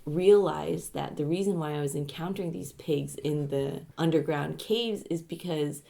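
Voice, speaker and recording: A woman co-hosting a podcast, telling anecdotes casually in a dry, deliberate way. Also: low-pitched, gravelly, dark tone, slight background noise. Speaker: podcast co-host.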